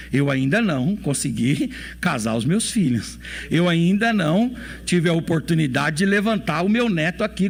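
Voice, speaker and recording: A Brazilian man in his forties preaches in a church, asking the congregation question after question. His voice sits high for a man and swings widely in pitch. He repeats his key phrases again and again. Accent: Brazilian Portuguese. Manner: preaching with rhetorical questions and repetition. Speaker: pastor. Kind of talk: sermon.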